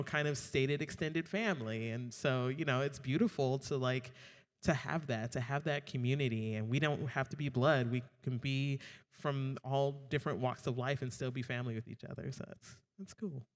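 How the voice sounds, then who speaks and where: A man's voice: medium pitch, steady tone, normal speed. A man drag performer speaking thoughtfully on a panel at a university event. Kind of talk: panel discussion. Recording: reverberant hall.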